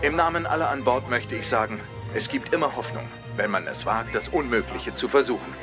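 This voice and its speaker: A man's voice: mid-range voice, a little fast, over loud music, lightly acted. A man playing a composed spaceship spokesman in a documentary interview voice-over. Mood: confident, hopeful.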